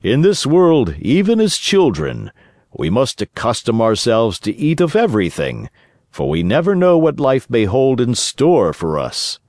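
A man voicing a kindly, patient old father in a storybook, speaking in a gentle, earnest, moralizing way. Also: mid-range voice, wildly swooping pitch, a little slow, no echo, clean studio sound. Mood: mildly scolding.

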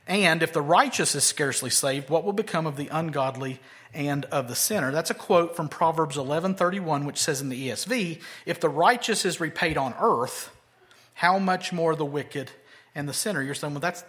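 A man preaching an expository sermon, moving between loud, emphatic lines and quiet, low asides. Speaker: pastor.

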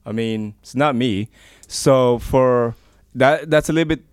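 A man's voice: mid-range voice, unhurried, darkish tone, slight background noise. A man, co-hosting a casual talk show, easygoing and a little hesitant. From born in the Philippines, raised in the USA. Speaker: co-host.

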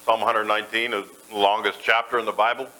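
A man's voice: on the low side, level tone, quick pace, bright, forceful tone, rough recording. A man preaching a sermon in a church, folksy and self-deprecating as he mixes jokes with scripture. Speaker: pastor.

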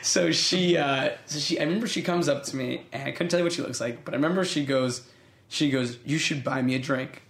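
A man's voice: on the high side, brisk, vocal fry, a bit bright, roomy sound. An American man telling a long story in casual chat, laughing often and restarting his sentences. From United States. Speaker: podcast co-host telling a story.

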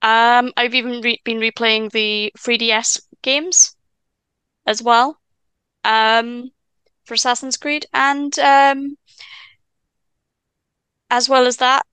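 Welsh-speaking woman, 20s, stuttering guest chatting; bright, clean, dry close sound. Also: a little high, little pitch movement, clean tone, no echo. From Wales. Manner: stammers and restarts, stutter; chatty, quick asides and laughs. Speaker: podcast guest. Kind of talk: casual chat.

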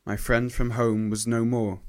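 A man's voice: medium pitch, flat delivery, measured pace, darkish tone, natural voice. A man playing a grieving young First World War soldier in a radio play, telling his story solemnly and sorrowfully. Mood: grieving, shaken. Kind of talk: radio play dramatic monologue.

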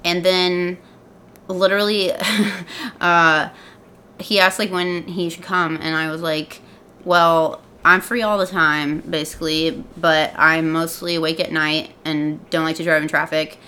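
Lower-voiced woman, rambling casually. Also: level tone, a little slow, pushed and bright, clear, resonant tone. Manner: rambling, lots of "like". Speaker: podcast co-host. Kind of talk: casual podcast chat.

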